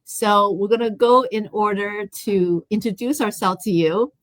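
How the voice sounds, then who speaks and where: A woman's voice: mid-range voice, fairly even intonation, unhurried, no echo. A woman from Taiwan hosting a live online interview. She talks in a chatty way, with many fillers and restarts. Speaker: host.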